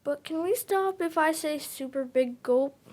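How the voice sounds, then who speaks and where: Young boy, high voice, innocent, hesitant, slow delivery, childlike, audio drama. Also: a little mellow. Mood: earnest, hesitant. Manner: childlike, halting, trusting.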